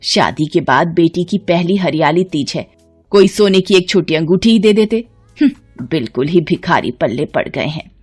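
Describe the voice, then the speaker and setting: Storybook mother-in-law, played by a female narrator: dramatic, emotional, over soft music. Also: on the low side, expressive, moderate pace, husky. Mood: scornful. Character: haughty mother-in-law, scornful, later weak and remorseful.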